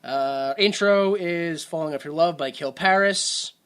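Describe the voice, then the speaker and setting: A man's voice: fairly high pitch, a little slow, fairly bright tone, clean tone. A young man hosting a podcast, rambling casually and laughing at his own jokes. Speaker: podcast host.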